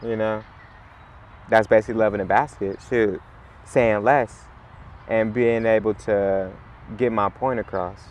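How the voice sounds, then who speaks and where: A man with a raspy, fairly low voice talks loosely, leaning on "like" and "you know". He is outdoors in a city, with faint traffic noise behind him. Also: steady tone, unhurried, pauses often. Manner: laid-back, rambling, heavy on "like" and "you know". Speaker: musician guest. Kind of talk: casual interview chat.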